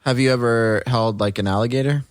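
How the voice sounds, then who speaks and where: A man's voice: mid-range voice, fairly even intonation, brisk, dry recording, clean studio sound. A man co-hosting a podcast, talking in a casual, conversational style. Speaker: podcast co-host. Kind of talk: casual chat.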